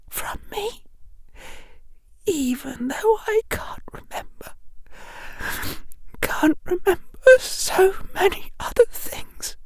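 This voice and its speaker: A woman playing a frail, broken, devoted servant of a dark lord in an audiobook, crying as she pleads in a halting, quavering whisper. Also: extremely high pitch, no echo, exaggerated voice. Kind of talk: dramatized audiobook acting.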